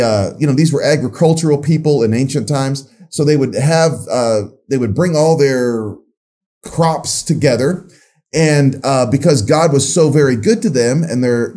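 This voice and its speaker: A man's voice: mid-range voice, mellow, husky. A man in his fifties, a pastor giving a conversational video sermon in a small curtained room, often restarting phrases.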